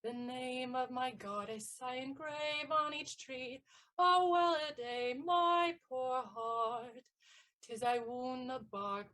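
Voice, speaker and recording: A lovesick young page boy, plaintive and pleading. The voice sits high and the pace is slow and drawn out. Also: sharp tone, heavily acted. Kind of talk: stage audio play acting.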